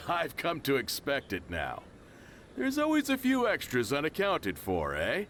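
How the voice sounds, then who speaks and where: Friendly middle-aged merchant, chuckling, easygoing, swooping tone. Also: male voice, fairly high pitch, huge pitch swings, measured pace, a little hiss or hum, exaggerated voice. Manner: warm-hearted, chuckling, easygoing. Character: good-natured middle-aged male shopkeeper, father. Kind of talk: game cutscene voice acting.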